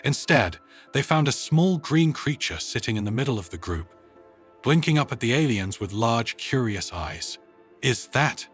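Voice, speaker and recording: Man, story narrator, scripted delivery, expressive pitch swings, slightly husky voice, music underneath. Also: moderate pace.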